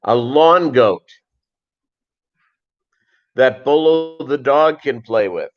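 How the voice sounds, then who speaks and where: Deep-voiced man rambling on a lo-fi livestream. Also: lively intonation. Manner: rambling, conversational, halting. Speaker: livestream host. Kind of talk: casual livestream chat answering viewers. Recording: dull, lo-fi livestream audio.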